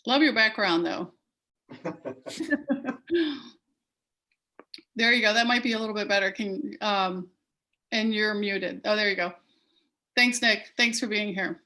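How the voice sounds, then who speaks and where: A chatty, upbeat woman introduces the guests of a live-streamed panel over a video call. Her voice sits at a mid pitch and her talk is full of ums and warm thanks. She grew up in Peru. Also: slow pace, pauses often. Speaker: festival host.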